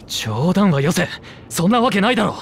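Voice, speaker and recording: A serious, terse wanderer in a fantasy video game. His voice sits a little high and moves expressively, with curt, insistent phrasing. A faint rumbling noise lies behind it. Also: big pitch swings, normal speed, gravelly, heavily acted. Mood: insistent, disbelieving. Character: terse, serious rabbit-eared male wanderer seeking his mother. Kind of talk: Japanese video game voice acting.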